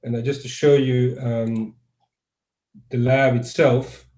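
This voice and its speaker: A man's voice: medium pitch, flat delivery, slow pace, warm tone, roomy sound. A man from Croatia, a guest neuroscientist, answers interview questions over a video call in a thoughtful, conversational way and often restarts his sentences.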